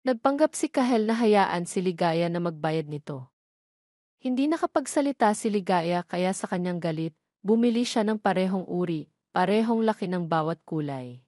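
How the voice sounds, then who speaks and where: A woman's voice: fairly low pitch, expressive, moderate pace, dark tone, no room sound, clean studio sound. A woman reading a scripted story as its narrator.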